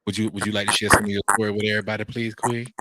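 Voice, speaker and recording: Fast-talking man host on a noisy line. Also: lowish voice, level tone. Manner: quick, encouraging, repeats words. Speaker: podcast host. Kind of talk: casual interview. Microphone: remote call mic with echo. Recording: loud hiss, echoey remote line.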